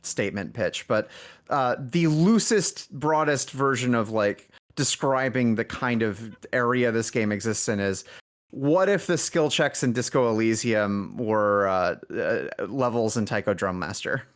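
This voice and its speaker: A man's voice: mid-range voice, unhurried. Man rambling casually, thinking aloud. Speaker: indie game developer, interview guest. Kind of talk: casual podcast conversation.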